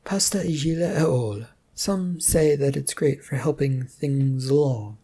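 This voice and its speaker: A narrator as Finn, a teasing, husky young-spirited woman. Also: mid-range voice, a little slow, mellow. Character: Finn, teasing, curious woman friend who cooks. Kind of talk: amateur story reading in character.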